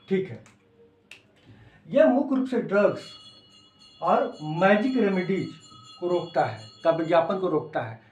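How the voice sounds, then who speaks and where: A man with a Bihari accent giving an informal lecture as a coaching teacher, explaining in simple words, repeating phrases and checking that students follow. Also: high voice. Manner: repeats phrases and checks understanding with short tags. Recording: echoey, reverberant room.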